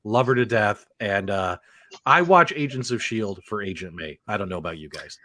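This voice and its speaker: A man's voice: on the low side, expressive, moderate pace, sharp tone, no echo, clean studio sound. A man hosting a casual panel show with emphatic, playful, teasing banter. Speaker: host of a video game movie show.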